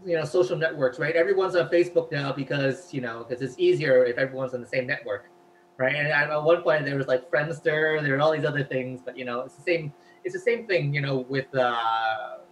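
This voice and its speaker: Male guest, native Cantonese speaker, chatty explainer with a bright, forceful tone. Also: a little high, a little fast, slight background noise, poor audio. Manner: chatty, explains at length, filler-heavy. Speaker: guest, language researcher. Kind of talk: casual interview talk.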